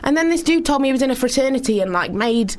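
A woman's voice: high-pitched, quick pace. A woman in her twenties with a British accent, chatting jokily as a guest on a radio show in a studio. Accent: British English. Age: 20s. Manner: jokey, animated and bubbly. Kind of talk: casual radio chat.

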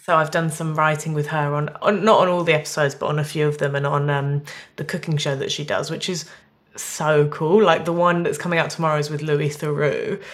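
A woman's voice: sits low, little pitch movement, brisk. A woman in her twenties chatting casually as a comedian guest, jokey, rambling and self-deprecating. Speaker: comedian guest on a radio show. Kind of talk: casual interview chat.

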